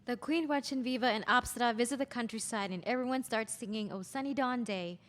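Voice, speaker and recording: Female narrator for stage directions, higher register, reading from script, long hall reverb. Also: a little fast. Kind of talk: scripted narration at a table read.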